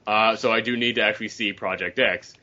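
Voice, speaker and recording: A man's voice: on the low side, fairly even intonation, a little fast, pushed and bright. A man chatting casually as a podcast member about films, rambling and qualifying as he goes. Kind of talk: casual panel chat. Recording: dull lo-fi online call.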